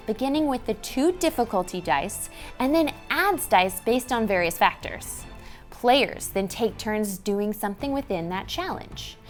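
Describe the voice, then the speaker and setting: Female host, thirties, highish lively voice, clearly enunciated scripted rules explainer. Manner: animated, clearly enunciated presenter delivery. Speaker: tabletop game show host explaining rules. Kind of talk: scripted instructional narration. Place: dressed indoor studio set. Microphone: clip-on lapel mic. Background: background music.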